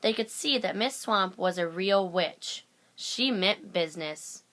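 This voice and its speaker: A woman's voice: mid-range voice, animated, measured pace, fairly bright tone. A woman narrating a children's picture book aloud as a storyteller. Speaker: storybook reader.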